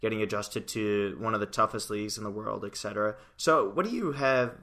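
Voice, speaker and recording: Bright-voiced American podcast host. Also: male voice, fairly low pitch, fairly quick. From United States. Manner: energetic, conversational, frequent fillers. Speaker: podcast producer and fill-in host. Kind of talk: casual soccer podcast talk.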